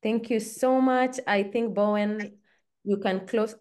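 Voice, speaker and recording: A woman from Kenya, hosting an online webinar in a warm, chatty manner over a video call. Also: mid-range voice, steady tone, slow. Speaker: webinar host.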